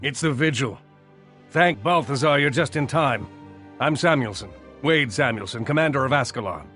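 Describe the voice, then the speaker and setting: An actor playing an urgent human military commander in a video game cutscene, with a clipped, commanding delivery. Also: male voice, mid-range voice, animated, moderate pace, sharp tone, soft background music. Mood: relieved, urgent.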